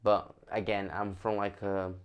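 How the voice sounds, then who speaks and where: A young Brazilian man with a Brazilian Portuguese accent, a fighter telling his story in a casual interview, speaking haltingly with many restarts. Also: on the low side, flat delivery, clear, resonant tone.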